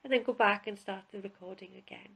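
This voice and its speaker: Calm woman doing a guided relaxation, mid pitched voice, takes her time w pauses. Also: breathy edge, poor audio. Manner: calm, unhurried, instructional, with pauses. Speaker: yoga relaxation instructor. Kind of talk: guided relaxation, spoken instruction.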